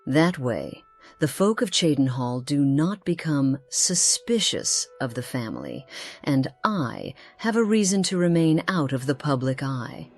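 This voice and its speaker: A woman plays an ageless noblewoman from a fantasy game, with a low female voice and a very slow, measured delivery. She is formal and secretive, wistful yet sly, and leaves theatrical pauses. Faint music sits behind her. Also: very animated, full character voice. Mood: composed, knowing. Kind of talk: scripted game character dialogue.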